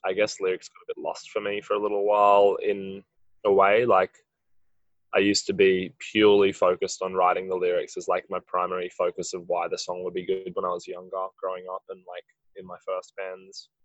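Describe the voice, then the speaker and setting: A musician with a husky voice, answering interview questions in a rambling way, full of "like". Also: male voice, low-pitched, completely flat delivery, fairly quick, no room sound, muffled recording. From Australia. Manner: rambling, heavy use of "like". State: husky from late rehearsal. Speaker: musician in a band.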